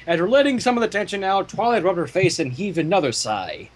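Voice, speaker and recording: A husky-voiced man reading a fan story aloud, animated, stumbling and breaking off for joking asides. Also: sits high, a little fast. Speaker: fan fiction reader and narrator.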